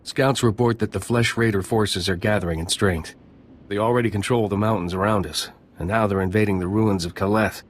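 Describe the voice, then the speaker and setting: An actor playing a serious commander of scouts in a video game, formal and matter-of-fact. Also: male voice, on the low side, fairly even intonation, a little fast, lightly acted. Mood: grave report.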